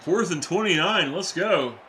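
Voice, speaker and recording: A young adult man, a teacher by day, giving casual, excitable live-stream commentary while playing a football video game and chatting with viewers. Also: high-pitched, a little slow, slight background noise. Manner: excitable, chatty, reacts to plays and reads chat aloud.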